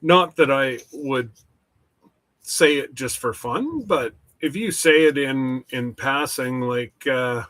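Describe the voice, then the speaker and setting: A man hosting a casual rambling chat, talking slowly with many pauses and restarts, his voice stuffed up from hay fever. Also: mid-range voice, a bit bright, no echo. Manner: slow, halting, with many restarts. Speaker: channel host. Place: workshop.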